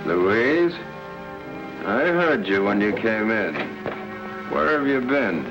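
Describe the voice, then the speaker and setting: Stern, accusing, imperious man, expressive delivery, vintage radio melodrama over music. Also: fairly low pitch, slow pace, dull audio, exaggerated voice. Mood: haughty. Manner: haughty, accusing. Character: stern, imperious, suspicious artist. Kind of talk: old-time radio drama acting. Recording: old radio broadcast with music.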